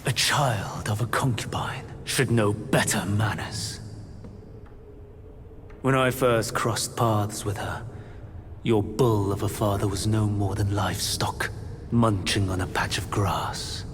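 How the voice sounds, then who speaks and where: Slow-speaking, silver-tongued scheming immortal. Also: male voice, lowish voice. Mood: contemptuous. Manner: grave, insinuating, formal, theatrical. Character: scheming, silver-tongued male immortal wooing a married woman. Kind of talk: dramatic video game cutscene acting. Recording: voice under prominent music.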